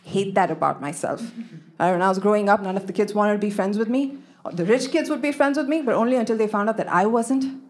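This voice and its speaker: A woman comedian talks in an easy, conversational way, at a middle pitch for a woman. She is miked on a comedy club stage, and clear audience laughter runs behind her. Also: fast, a little mellow, slight background noise. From Kenya. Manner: conversational, playful, mimics accents in quoted lines. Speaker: stand-up comedian. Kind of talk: stand-up comedy set. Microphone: handheld stage mic.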